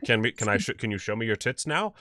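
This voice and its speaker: A man's voice: fairly low pitch, expressive, fast talker, bright, forceful tone, no echo, clean studio sound. A man voicing a sleazy, smug casting-table guy who leers, sniffs and drawls. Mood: leering.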